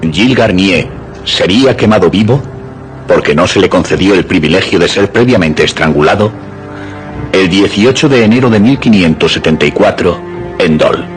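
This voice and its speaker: Deep-voiced male narrator. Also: animated, moderate pace. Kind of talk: dramatic scripted narration for suspense radio theatre. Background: music.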